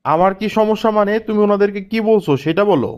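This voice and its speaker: A man's voice: high voice, fast talker, smooth, lightly acted. A man voicing an exasperated young husband in a cartoon family comedy, scolding and questioning in a Bangladeshi Bengali accent. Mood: accusing.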